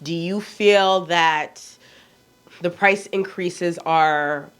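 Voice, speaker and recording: A woman's voice: lowish voice, extremely slow, clear, resonant tone. Blunt Nigerian-accented woman in her forties. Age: 40s. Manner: blunt, candid, chatty with rhetorical questions. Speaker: fashion vlogger. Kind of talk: casual chat to camera.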